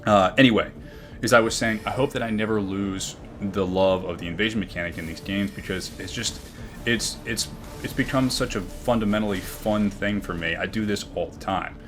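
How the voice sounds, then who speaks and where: A man with a booming voice talking earnestly about his gaming channel, often reflective, with frequent fillers and restarts. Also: moderate pace, over music. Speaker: gaming YouTuber. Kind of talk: game commentary and channel talk.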